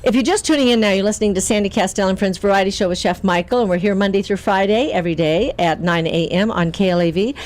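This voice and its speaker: A woman hosting a casual radio variety show, chatty and quick to laugh. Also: medium pitch, darkish tone. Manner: giggly, chatty.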